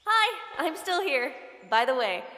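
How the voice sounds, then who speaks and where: A chatty, girlish anime telepath, played by a woman, speaking softly in a high voice. Long reverb makes the voice echo. It is acted in audio drama style. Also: big pitch swings, unhurried, exaggerated voice. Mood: playful, chatty. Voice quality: soft.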